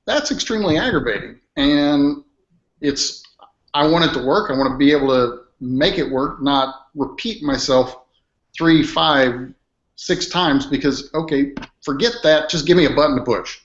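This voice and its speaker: A man's voice: a little high, normal speed. A man in his fifties with a Midwestern American accent, speaking frankly as a guest in a casual panel chat. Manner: frank, plainspoken. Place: room with bookshelves. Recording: roomy, dull webcam audio.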